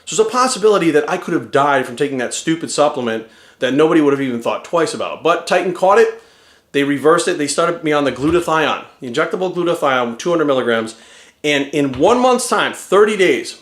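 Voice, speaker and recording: A man in his forties giving an energetic, rambling testimonial to camera. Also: fairly high pitch, brisk, roomy sound. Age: 40s.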